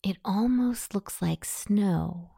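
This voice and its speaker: A woman guiding a scripted hypnosis meditation in a soothing, softly breathy delivery. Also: mid-range voice, slow, dry recording, clean studio sound.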